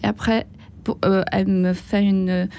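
A woman with a foreign accent, speaking French as a second language, tells a personal story haltingly as an interview guest. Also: medium pitch, flat delivery, vocal fry, mellow. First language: not French, speaks it as a second language.

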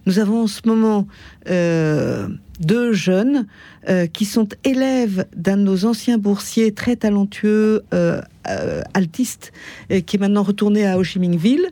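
A woman answering an interview as an association representative, with pauses as she explains. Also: mid-range voice, dark tone, dry recording.

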